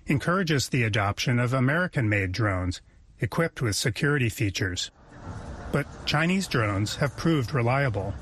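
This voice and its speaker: A male reporter narrates a news story in a measured way, at mid pitch. A faint noise sits in the background. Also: fairly bright tone.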